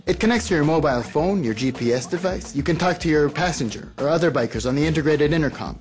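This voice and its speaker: A man's voice: a little high, expressive, fast talker, a little mellow, faint music behind, natural voice. A man playing a friendly, confident biker in a scripted promo, presenting and chatting about his gear. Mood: upbeat, presenting. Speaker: presenter and actor in a product promo. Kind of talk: scripted promotional narration and dialogue.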